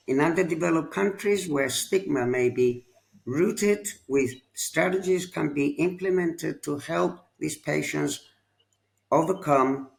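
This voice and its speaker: A man moderating a panel podcast, asking long questions in a slow, deliberate way. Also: on the high side, gravelly, low-quality recording.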